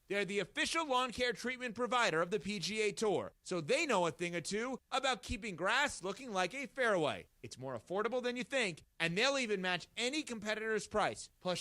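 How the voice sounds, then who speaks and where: Bright, high-voiced male ad announcer. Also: no room sound. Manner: upbeat, polished. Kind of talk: read commercial.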